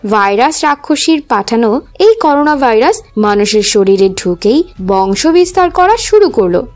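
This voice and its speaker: A woman narrating a scripted children's fairy tale in an expressive storytelling manner. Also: a little high, moderate pace.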